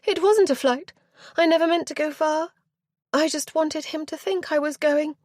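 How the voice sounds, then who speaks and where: A woman voicing a petulant, self-centred young Regency heiress who talks in a high, sulky, easily wounded way. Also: moderate pace, darkish tone, no room sound, studio quality, close to an everyday voice. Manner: sulky, high-strung, rising to tearful.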